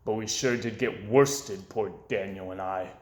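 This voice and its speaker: A man reading a rhyming children's book aloud with an expressive, sing-song delivery, in an echoey room. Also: on the low side, measured pace. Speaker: storyteller.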